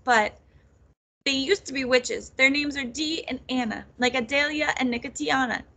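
A woman's voice: sits high, very animated, moderate pace, sharp tone, pitched above the performer's own voice, full character voice. A woman voicing an anxious, impulsive young witch girl in a storybook, breathless and pleading. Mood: urgent.

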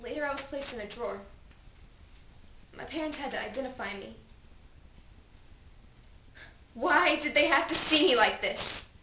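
In an echoey, noisy room, a young woman acts out a dead seventeen-year-old girl telling her story in a high voice. Her dramatic monologue is theatrical and anguished, moving between hushed recollection and desperate pleading. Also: fairly quick, natural voice. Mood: sorrowful. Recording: echoey room, distant mic, clear noise.

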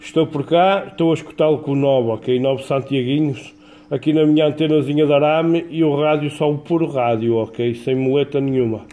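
A man with a European Portuguese accent chatting casually as an amateur radio operator, in a colloquial, halting way with repeated words. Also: a little high, fairly even intonation, dark tone. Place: indoors at a home radio desk.

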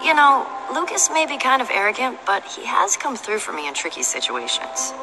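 A woman's voice: a little high, huge pitch swings, brisk, over music, rough recording. A woman voicing a tough, confident young female adventurer in a video game, with a dry, teasing, self-assured manner. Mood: teasing, persuasive. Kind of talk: animated game dialogue.

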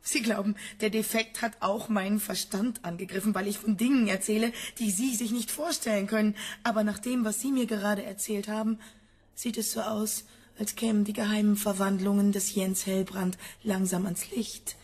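An actress playing a sick, embittered scientist's wife in a radio drama, agitated, halting and insistent. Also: female voice, mid-range voice, level tone, darkish tone, slightly rough, natural voice. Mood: bitter, despairing. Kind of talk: German radio drama acting.

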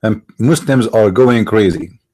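A middle-aged man with an Arabic accent hosting a commentary monologue, halting, restarting words and stressing his points. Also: fairly low pitch, darkish tone, slightly rough, poor audio. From Middle East. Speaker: online show host.

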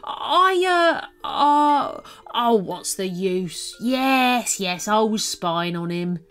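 A woman's voice: medium pitch, animated, drawn-out words, a bit bright, clear, resonant tone. A woman voicing a small green male alien, nervous, eager and apologetic, in a children's story. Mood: flustered, meek.

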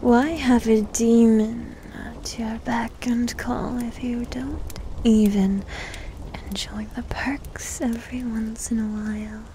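A woman playing a needy, teasing, doting succubus demon servant in an intimate ASMR roleplay, breathy and mostly whispered, close to the microphone. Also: fairly high pitch, steady tone, warm tone, a little hiss or hum. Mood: sly, seductive. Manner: coy, teasing, slow and drawn-out.

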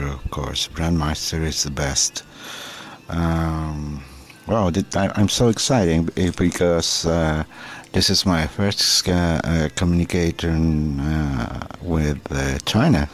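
A man's voice: low voice, darkish tone. A man from Chile with a Chilean Spanish accent, a radio operator talking effusively through a ham radio contact in sometimes hesitant phrases. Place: indoors at a desk, small room.

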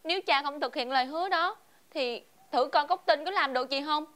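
A woman playing a defiant, sharp-tongued young daughter in a fairy-tale television drama, whiny, with a Southern Vietnamese accent. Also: sits high, brisk. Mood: defiant, disgusted. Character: defiant, aggressive young daughter refusing marriage.

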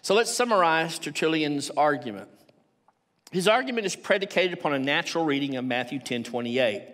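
A man in his sixties with a Texan accent, giving a measured academic lecture and reading quotations aloud from a stage lectern. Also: fairly high pitch, lively intonation, fairly bright tone. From Texas, United States. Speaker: theology professor, conference speaker. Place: large auditorium stage at a lectern.

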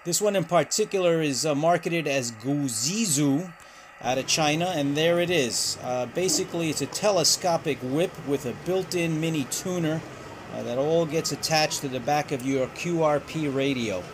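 Guy doing a casual ham radio gear review, kinda hesitant, voice a bit high, lots of background noise. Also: moderate pace. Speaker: host of a ham radio channel. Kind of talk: casual product review narration.

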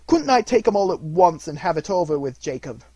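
A man's voice: medium pitch, moderate pace, dark tone, husky, no room sound, lightly acted. A man voicing a frightened old miser in a storybook, speaking in a faltering, deferential, pleading way. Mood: nervous, faltering.

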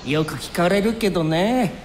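Soft-hearted young male game hero, played by a man: casual but earnest, highish lively voice, music behind, reverberant. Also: moderate pace, natural voice. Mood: breezy. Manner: casual, breezy talk with an earnest core. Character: easygoing, soft-hearted young time-traveling fighter. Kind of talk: anime game cutscene acting.

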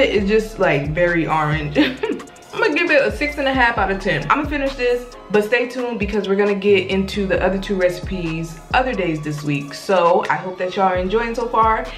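A woman's voice: mid-range voice, roomy sound. A young woman in her twenties chatting to viewers while cooking at home, emphatic and casual, calling them y'all. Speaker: vlogger. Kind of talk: casual cook-with-me vlog. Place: small apartment kitchen.

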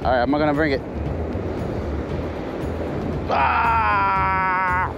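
Excited angler man, slow speech, loud music. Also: sits high, vocal fry, dull audio. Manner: excited urging and coaching calls. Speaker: angler filming a fishing trip. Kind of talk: casual running talk while fishing. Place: outdoors on an ocean fishing pier.

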